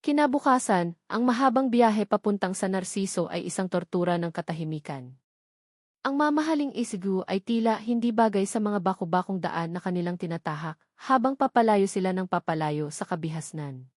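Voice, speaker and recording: Female Filipino storyteller for romance audiobook, dramatic scripted read, mid pitch, close dry recording. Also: animated, fairly quick, no echo. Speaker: audiobook narrator.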